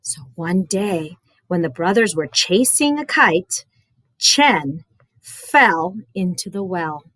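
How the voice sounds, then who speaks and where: A woman reading a story aloud to young children, expressive and dramatic, with instructive explanatory asides. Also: on the low side, slow pace, no echo, low-quality recording.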